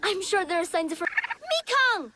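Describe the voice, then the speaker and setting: A woman voicing an earnest, excitable young boy in a video game, with a pleading way of talking. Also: very high voice, moderate pace, slight background noise, full character voice. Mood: worried.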